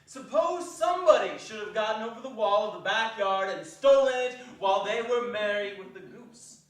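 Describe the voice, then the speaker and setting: A man's voice: sits high, animated, moderate pace, a bit bright. A man giving a theatrical storybook narration as one of the readers in a staged reading. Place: indoor performance hall. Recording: echoey hall from far off.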